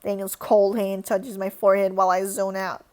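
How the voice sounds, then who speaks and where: A woman's voice: mid-range voice, monotone, normal speed, warm tone. A young woman streamer reading a story game aloud, reacting dramatically with shrieks.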